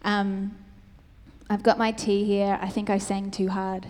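Croaky woman preaching. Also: medium pitch, level tone, unhurried, a little mellow. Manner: friendly, conversational teaching with light humor. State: slightly croaky voice. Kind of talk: sermon. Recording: long hall reverb.

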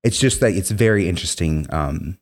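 A man's voice: deep voice, lively intonation, fairly quick, darkish tone. A young man telling his story as an interview guest, earnest and meandering, full of "um" and "you know". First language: Spanish. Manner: heavy fillers, earnest, meandering. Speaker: guest, former military member.

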